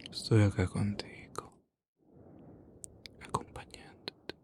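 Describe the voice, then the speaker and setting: Very slow, whispering gentle vampire played by a man. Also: close to an everyday voice. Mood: tender, soothing. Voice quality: soft, breathy whisper mixed with low murmur. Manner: slow, hushed, reassuring, long pauses. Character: gentle male vampire soothing an adventurer to sleep. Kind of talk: ASMR roleplay.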